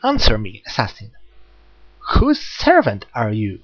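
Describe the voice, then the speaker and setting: Man playing an excitable, anxious male magus. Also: on the low side, a little slow, no echo, dull audio, exaggerated voice. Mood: demanding, alarmed. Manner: excitable, demanding, urgent. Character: anxious, scheming male magus summoning a servant.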